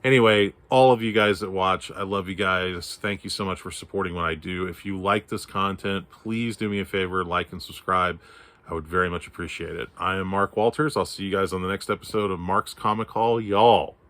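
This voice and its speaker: Deep-voiced man, scratchy from allergies. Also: steady tone, fairly quick, a bit bright. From Texas. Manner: rambling, many fillers and restarts. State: hoarse, scratchy throat from allergies. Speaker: comic haul vlogger. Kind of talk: casual show-and-tell chat.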